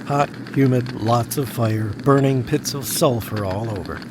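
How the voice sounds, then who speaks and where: Over noisy background ambience, a cocky businessman played by a male actor talks in a mid-pitched voice. He is wry, self-satisfied and stubborn, in the manner of an audio drama. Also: unhurried, natural voice. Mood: wry storytelling. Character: smug dead tycoon, cocky, stubborn and wry. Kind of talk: first-person audio drama narration and dialogue.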